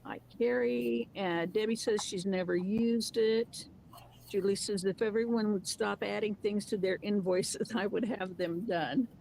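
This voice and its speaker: A woman's voice: medium pitch, steady tone, a little slow, creaky, darkish tone, low-quality recording. Woman host reading chat comments aloud. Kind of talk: casual chat.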